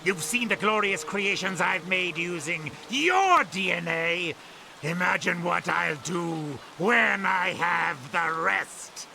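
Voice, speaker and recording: A man's voice: sits high, takes it slowly, gravelly, noisy background, heavily acted. A man voicing a gleeful, theatrical mad scientist villain in a cartoon game, gloating grandly. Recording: loud music bed behind.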